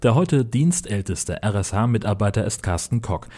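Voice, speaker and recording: Mellow, low-voiced male radio reporter. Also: lively intonation, measured pace, husky. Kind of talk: scripted radio report narration.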